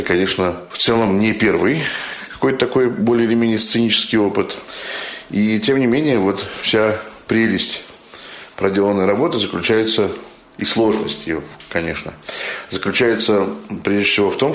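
Low voiced guy thinking out loud, casual, echoey room. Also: normal speed. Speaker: amateur actor and chat group administrator. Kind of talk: informal reflective talk.

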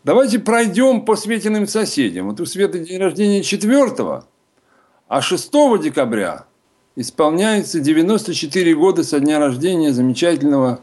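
A man hosting a radio music program, chatting and introducing jazz recordings in an unhurried, informative way at a studio microphone. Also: a little high. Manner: unhurried, informative, listing musicians in a steady announcing tone.

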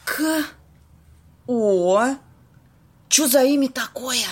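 A woman acting a bossy, streetwise young woman in an amateur audio play, husky, slangy and blunt. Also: high-pitched, animated, exaggerated voice. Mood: excited. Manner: slangy, blunt, drawn-out exclamations.

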